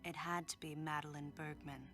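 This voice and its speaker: A woman playing a reserved female bounty hunter, terse and low-key in a game cutscene. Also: little pitch movement, a little fast, fairly bright tone, faint music behind. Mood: reflective. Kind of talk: game cutscene voice acting and inner monologue.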